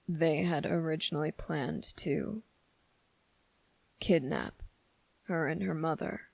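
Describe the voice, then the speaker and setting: A performer playing a sardonic, condescending demon in a dramatic ASMR roleplay, speaking slowly and deliberately with many pauses. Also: medium pitch, vocal fry, darkish tone, no echo, rough recording. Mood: grave, subdued. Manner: slow, deliberate, condescending, pausing often. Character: sardonic, menacing demon weighing a summoner's revenge plea.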